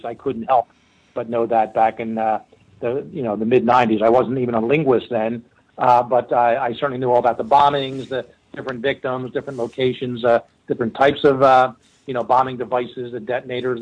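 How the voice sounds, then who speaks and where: A man's voice: medium pitch, dull audio. Rambling Philadelphia man, casual storyteller. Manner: rambling, many fillers and restarts. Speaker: forensic linguist guest.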